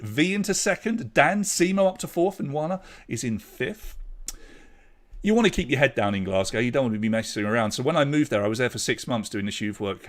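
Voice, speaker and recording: An English man running an online pub quiz with a bouncy, sing-song delivery that swoops up and down. His voice sits in an ordinary middle register. He chats and jokes with the players between questions. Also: medium pitch. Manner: chatty, jokey banter with players between questions. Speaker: quiz host.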